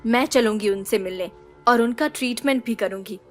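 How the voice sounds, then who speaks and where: A self-assured young woman, played by an actress, composed and guarded, with a fairly high voice. Light music runs beneath the drama. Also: normal speed, natural voice. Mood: confident, guarded. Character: confident, guarded young woman healer with secret purpose. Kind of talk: Hindi audio drama acting.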